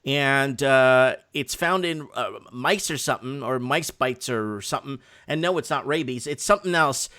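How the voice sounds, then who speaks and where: A man's voice: medium pitch, normal speed, few pauses, sharp tone. A man hosting a live night show in a casual, blunt and emphatic way.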